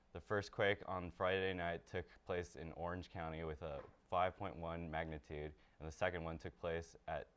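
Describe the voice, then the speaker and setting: Young man with a smooth, low voice. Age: young adult. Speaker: student presenter. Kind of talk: scripted on-camera presenting. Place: small TV studio set.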